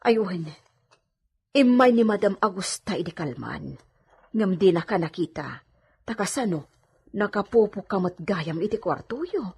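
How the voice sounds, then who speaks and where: A woman's voice: mid-range voice, expressive, unhurried, pauses often, a little mellow, slightly rough, full character voice. A woman playing an outspoken, protective maidservant in a radio drama, speaking with an Ilocano accent. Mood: concerned.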